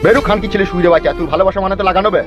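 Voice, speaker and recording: A man's voice: sits high, steady tone, rapid-fire, close to an everyday voice. A man voicing an angry, shamed middle-aged village father in a cartoon, coarse and scolding. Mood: furious. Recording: dull lo-fi track, music behind.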